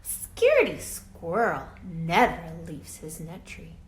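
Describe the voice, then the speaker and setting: A woman reading a children's picture book aloud like a teacher, deliberate and emphatic, drawing out words and adding explanatory asides. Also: on the low side, huge pitch swings. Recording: echoey room, faint background noise.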